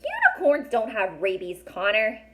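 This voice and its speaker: A woman voicing an eager, cheerful young girl from a storybook in an earnest, bright way. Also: on the high side, wildly swooping pitch, unhurried. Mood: exasperated.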